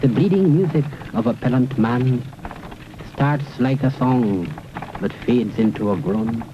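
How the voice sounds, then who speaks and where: On a dull, noisy old film soundtrack, a man recites poetry as documentary narration. His delivery is slow and solemn, each phrase measured and dramatic, in a mid-pitched voice. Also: lively intonation.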